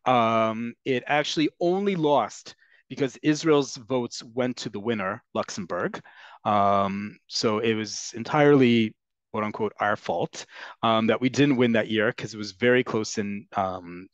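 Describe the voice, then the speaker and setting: A man teaching a Hebrew class on a video call, explaining earnestly with frequent pauses and ums. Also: fairly low pitch, no room sound, lo-fi sound. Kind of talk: online class lecture.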